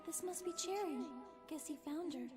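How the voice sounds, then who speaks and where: Very high-voiced teasing detective, a woman acting. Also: normal speed, over music. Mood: thoughtful, deducing. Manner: teasing, inquisitive. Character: sharp, teasing young detective, playful and composed. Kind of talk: animated murder-mystery parody acting.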